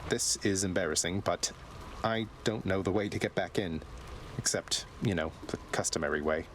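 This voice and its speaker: Man acting a wry young prince, lowish voice. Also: moderate pace, background noise, lightly acted. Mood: sheepish. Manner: dry, sardonic, polite diction. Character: wry, irreverent young prince, son of the underworld god. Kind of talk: dramatic video game voice acting. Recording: music behind the voice.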